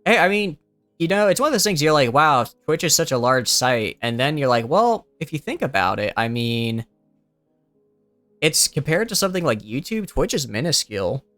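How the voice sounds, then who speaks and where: A young male streamer with a smooth, clean voice that sounds youthful, recorded close and dry with no room sound. He rambles in relaxed commentary, thinking aloud with plenty of fillers and restarts. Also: fairly high pitch, normal speed, dry recording, soft background music. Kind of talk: casual gameplay commentary.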